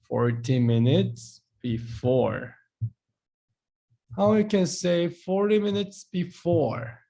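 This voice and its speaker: A male language teacher speaks English. His voice sits fairly high but sounds mellow and dark, with a gravelly edge. His melody swings widely as he walks through examples patiently. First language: Korean. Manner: lively, explains patiently, repeats Korean words slowly, acts out short dialogues. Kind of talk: live online class.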